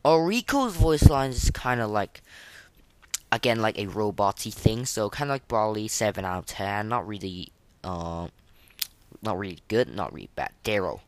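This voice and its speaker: Casual podcast host, medium pitch, rambles and repeats, stop-start delivery, close dry recording. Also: lively intonation, no room sound. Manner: rambling, halting, repeats words and phrases. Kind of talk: casual chat rating game voice lines.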